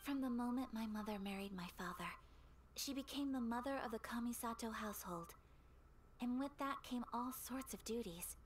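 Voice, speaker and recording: A woman playing a refined, gentle young noblewoman in a game, speaking formally and softly, with measured, polite phrasing and some breathiness. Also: fairly high pitch, a little hiss or hum, lightly acted. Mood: reflective. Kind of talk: English game dub acting. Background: game music.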